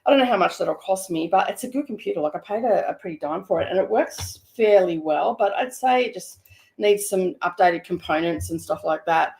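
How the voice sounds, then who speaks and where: A woman hosting a stitching live stream from her home studio, hoarse and croaky today, chatting in a rambling way with many fillers. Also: lowish voice, lively intonation, a little fast, darkish tone. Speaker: craft live-streamer host. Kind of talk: casual chat live stream.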